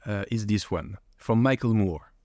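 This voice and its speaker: A man's voice: fairly low pitch, normal speed, dark tone, dry recording, clean studio sound. A man hosting an interview podcast, asking probing questions and rephrasing them at length. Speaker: podcast host.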